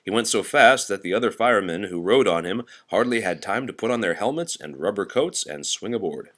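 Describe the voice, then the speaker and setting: Animated low-voiced man reading a storybook. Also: brisk. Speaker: storybook reader. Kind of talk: expressive children's read-aloud narration.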